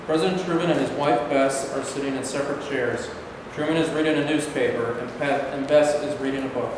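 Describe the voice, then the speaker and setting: A man's voice: mid-range voice, steady tone, a little fast. Man, scripted narration, matter-of-fact, play reading, very reverberant, noisy room. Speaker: reader of stage directions. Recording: echoey hall, distant mic, noisy.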